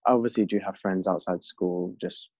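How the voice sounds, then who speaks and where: A man's voice: low-pitched, fairly even intonation, normal speed, a little mellow. A young man from London with an English accent, a podcast guest reflecting earnestly in casual conversation. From London, England. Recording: dull lo-fi dry recording.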